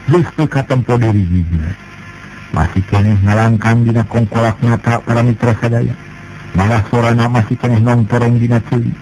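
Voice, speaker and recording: A man's voice: mid-range voice, rough recording. Man narrating a folk tale over music. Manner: measured, expressive narration with rising and falling emphasis. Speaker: storyteller. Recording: music playing behind.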